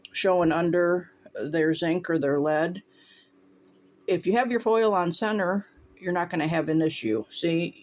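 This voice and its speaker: A man from Northwest Ohio, casually explaining stained glass steps as he works in his home studio and thinking aloud between tasks. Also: on the high side. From Northwest Ohio, USA. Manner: relaxed, talks while working, thinks aloud. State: runny nose, voice briefly faltering.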